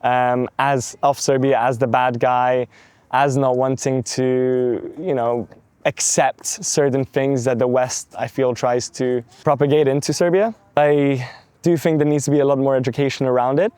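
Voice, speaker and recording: A young man answers interview questions in a measured, thoughtful way, leaning on little phrases as he thinks. His voice is smooth and resonant at an ordinary pitch. The sound is dry and close. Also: steady tone, a little mellow, no room sound. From London, England. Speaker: interview guest.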